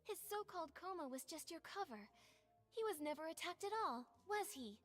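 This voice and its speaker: A woman's voice: very high voice, lively intonation, normal speed, fairly bright tone, soft background music. An actress playing a determined female investigator in a video game, speaking in an articulate, insistent, accusatory way. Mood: accusing. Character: determined young female investigator pressing a suspect.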